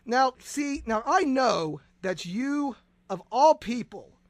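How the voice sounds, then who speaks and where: Guy hosting a comedy radio show, high creaky voice, over the top dramatic, repeats himself a lot. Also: lively intonation, takes it slowly, no room sound. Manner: bombastic, stammering with repeated words. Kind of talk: comedic radio interview in mock-dramatic bits.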